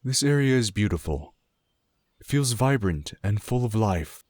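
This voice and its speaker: Man acting an earnest, expressive low-voiced druid. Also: a little slow, no room sound. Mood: curious. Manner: formal, inquisitive. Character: earnest, polite male druid pilgrim. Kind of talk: fantasy audio drama acting.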